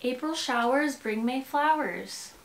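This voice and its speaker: Young American woman, casual rambling vlog chat, creaky vocal fry, echoey room. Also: sits high, slow. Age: 20s. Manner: rambling, informal, self-interrupting. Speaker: lifestyle vlogger. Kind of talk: casual, chatty vlog talk to camera. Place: rooms in a home, indoors. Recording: echoey bare room, music underneath. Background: background music.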